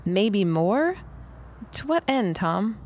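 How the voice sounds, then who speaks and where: A woman's voice: mid-range voice, sing-song, takes it slowly, a little mellow. A woman playing a frank, plain-spoken, dryly witty working woman in a period audio drama. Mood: curious, frank.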